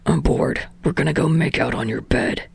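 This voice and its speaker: A woman's voice: low-pitched, normal speed, a little mellow, dry recording, voice pushed down, exaggerated voice. A woman reading a fan-fiction podfic, voicing a hot-tempered young male celebrity who speaks in curt, sweary growls. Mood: gruff. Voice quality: gravelly, snarling. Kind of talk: podfic character voice.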